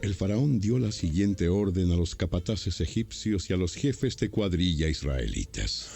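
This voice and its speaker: A man's voice: deep voice, expressive, a little slow, gravelly, dark tone, faint music behind. A man narrating a dramatized Bible story from a script, as a storyteller between acted parts.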